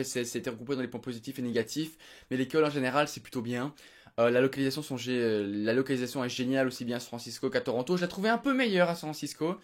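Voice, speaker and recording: A young man from Belgium giving a casual, unedited recap to his viewers, rambling with many fillers and restarts. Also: on the high side. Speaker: vlogger. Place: home room.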